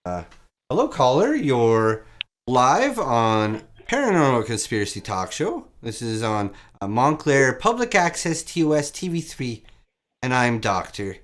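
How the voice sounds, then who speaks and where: A man hosts a low-budget comedic call-in show in a casual, fumbling way. His pitch rises and falls with lively swings. He keeps repeating his callers' words back to them. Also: measured pace. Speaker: host.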